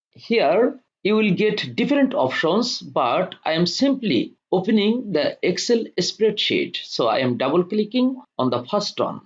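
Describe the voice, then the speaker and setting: Guy doing a computer how-to, slightly high voice, really up and down, kinda muffled lo-fi sound. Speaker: software tutorial presenter. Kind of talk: step-by-step tutorial narration. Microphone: voice-over for screen recording. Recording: dull lo-fi voice-over.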